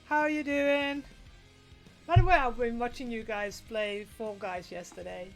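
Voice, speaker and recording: A woman's voice: fairly high pitch, moderate pace, soft background music. A woman with a Dutch accent, touched by British English, chatting casually with her stream chat while working on a sewing project, rambling and restarting often. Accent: Dutch, with some British English. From Netherlands.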